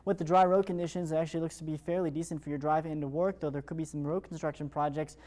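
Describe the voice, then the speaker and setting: A man's voice: a little high, fairly even intonation, fast talker, mellow. A man with an American accent presenting a morning television weather forecast in a chatty, upbeat broadcast manner. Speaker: television morning show meteorologist.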